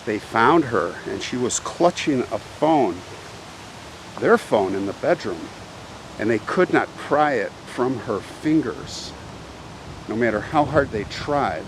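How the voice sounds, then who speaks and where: A man's voice: mid-range voice, expressive, slow pace, pauses often, slight background noise, rough recording. A man telling a story on location as a video host, in a theatrical, suspenseful way, repeating phrases for effect. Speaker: host of a cemetery history video channel. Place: outdoors, walking in a cemetery.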